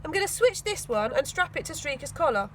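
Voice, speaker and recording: A woman's voice: sits high, sing-song, fairly quick, a bit bright, a little hiss or hum, rough recording. A woman voicing a scheming, easily irritated young boy in a storybook, emphatic and self-important. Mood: proudly scheming.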